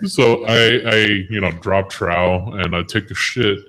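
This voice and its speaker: A man's voice: low voice, fairly even intonation, a little slow, background noise. A man on a voice chat stream, rambling through a crude story and chuckling as he goes. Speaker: stream guest.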